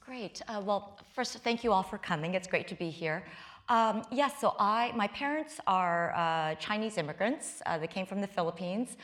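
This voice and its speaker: A middle-aged American woman from the Midwest talks candidly on stage. Her voice sits at an ordinary pitch for a woman, and she often restarts sentences with self-deprecating asides. The recording is a live talk in front of an audience. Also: lively intonation, normal speed, a bit bright. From United States, Midwest. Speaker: guest author and law professor. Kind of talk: conversational interview on stage.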